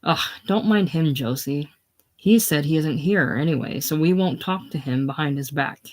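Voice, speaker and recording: A reader voicing a gruff old farmer who mocks and jeers loudly. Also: medium pitch, moderate pace, close to an everyday voice. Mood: threatening. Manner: loud, teasing, contemptuous. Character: gruff old Irish farmer, mocking and jeering.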